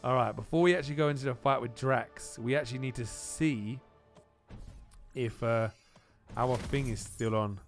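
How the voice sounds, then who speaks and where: A man's voice: medium pitch, normal speed. Man commentating gameplay over music. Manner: exclaims and repeats phrases, informal slang. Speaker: gaming channel host. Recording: music behind the voice.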